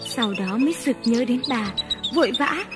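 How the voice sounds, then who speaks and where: A woman narrating a children's fairy tale in a slow, gentle, carefully paced reading. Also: a little high, animated. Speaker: storyteller. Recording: reverberant with background music.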